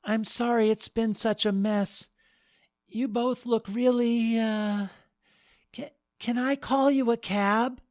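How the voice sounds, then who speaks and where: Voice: medium pitch, steady tone, slow, darkish tone, pitched above the performer's own voice, lightly acted. A storyteller voicing an anxious young bride who stammers.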